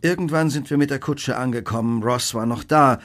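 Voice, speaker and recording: An actor playing a brilliant, coolly analytical detective in a German radio play, speaking precisely and with authority. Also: male voice, medium pitch, brisk, fairly bright tone, close to an everyday voice. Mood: urgent, probing.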